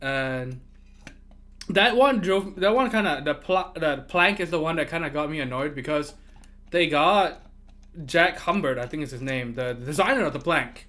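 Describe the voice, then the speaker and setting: Animated, ranting male streamer. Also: fairly high pitch, normal speed. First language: not English. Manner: animated ranting, swears freely, laughs often. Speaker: livestreamer. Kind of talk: casual livestream chat.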